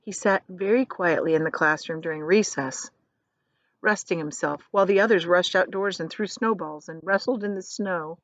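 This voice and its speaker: A woman's voice: lowish voice, moderate pace, no room sound, highs cut off. Woman reading a storybook, dry sound. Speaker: teacher reading aloud. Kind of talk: expressive children's storybook narration.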